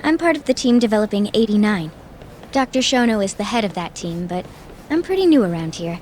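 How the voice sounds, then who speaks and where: A woman's voice: mid-range voice, animated, brisk, a little mellow, slight background noise, natural voice. A woman acting in a game dub as a polite, matter-of-fact junior researcher who explains things helpfully.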